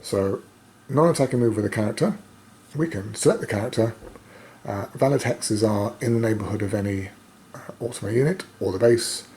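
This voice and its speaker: A man with a slightly croaky voice gives casual play-by-play of a solo board game, thinking aloud with hesitant pauses. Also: on the low side, a little mellow. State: croaky voice, coughing now and then. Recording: noticeable background noise.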